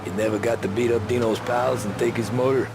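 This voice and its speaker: An actor playing a guarded newcomer to the mob in a video game, terse and understated. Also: male voice, mid-range voice, steady tone, a little fast, background noise, close to an everyday voice. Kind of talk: cinematic game acting.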